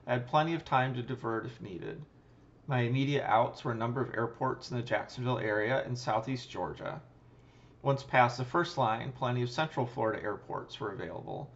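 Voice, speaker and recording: Male pilot-narrator, mid-pitched, measured and technical scripted read, matter-of-fact, on a slightly muffled recording. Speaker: pilot and channel host. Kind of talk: scripted narration, flight review voice-over.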